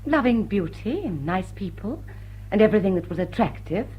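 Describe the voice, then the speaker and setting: A woman's voice: mid-range voice, animated, normal speed, slightly rough. An actress playing a poised, gracious and wry woman thief, a former shop girl, in a vintage drawing-room drama. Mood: rueful confession. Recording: dull lo-fi vintage soundtrack.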